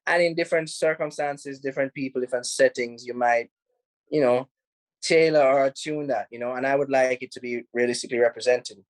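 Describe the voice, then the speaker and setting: Jamaican man, film director on a video call, easygoing and chatty, mid-pitched, dry sound with no room. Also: fairly quick, dry recording, rough recording. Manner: relaxed, rambling, many "you know"s, laughs easily. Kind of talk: casual interview answers. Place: home in Los Angeles.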